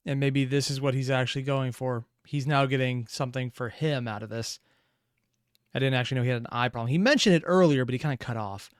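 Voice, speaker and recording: A man hosts a reaction video with a mid-pitched voice. He muses aloud and analyses off the cuff, recorded close and dry. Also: fast, clear, resonant tone, no room sound. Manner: musing, thinking aloud.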